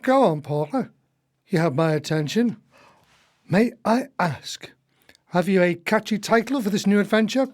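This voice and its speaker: A man's voice: high voice, expressive, measured pace, pauses often, a little mellow. An actor playing a wry, devoted male co-conspirator in a radio play, with a theatrical delivery. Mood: attentive, amused.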